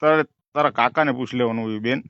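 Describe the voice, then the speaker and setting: A man's voice: medium pitch, fast talker, a bit bright, no room sound. A man with a rural Saurashtra Gujarati accent in a heated phone argument, insistent and repetitive, talking over the other person on a muffled call recording. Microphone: telephone.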